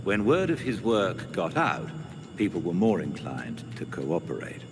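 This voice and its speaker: A man's voice: low voice, sing-song, exaggerated voice. A man playing a formal, dryly witty older butler and mentor in a video game cutscene. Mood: gently advising. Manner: formal, measured, dry. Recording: music behind.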